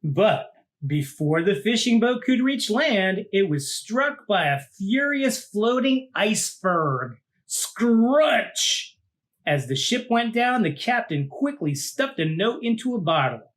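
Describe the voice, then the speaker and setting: A man, a teacher, reading a children's picture book aloud in an informal, expressive way with casual asides. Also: sits high, slow.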